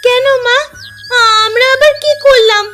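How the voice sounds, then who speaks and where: খুব চিকন গলার ছোট্ট মেয়ে. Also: female voice, very high-pitched, unhurried, sharp tone, heavily acted. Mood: puzzled, upset. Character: young daughter, a curious, needy little girl. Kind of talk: cartoon story dialogue. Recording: music behind the voice.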